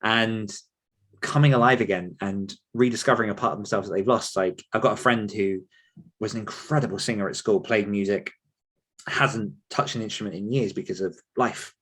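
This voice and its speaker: A man's voice: on the low side, moderate pace, a bit bright, husky, poor audio. A man speaking earnestly and conversationally as an author coach being interviewed.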